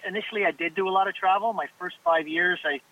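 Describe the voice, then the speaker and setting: High-voiced Canadian man on a tinny line. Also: steady tone, fast talker, pushed and bright. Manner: earnest, repeats words when starting sentences. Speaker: soccer agent. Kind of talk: casual interview talk.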